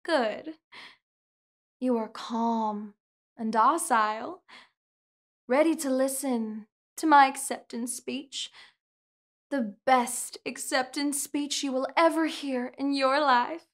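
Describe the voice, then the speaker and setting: A young woman in a studio, speaking soft, slow, soothing hypnosis roleplay with repetitive counting and commands. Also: high voice. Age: young adult. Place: indoor studio set with curtain backdrop.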